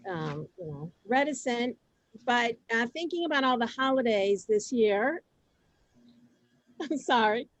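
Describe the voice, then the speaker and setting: A middle-aged woman hosting a group on a video call, chatting informally and telling stories in a conversational, animated way. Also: on the high side, measured pace, pauses often. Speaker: host of a synagogue group session. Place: video call, close dry sound.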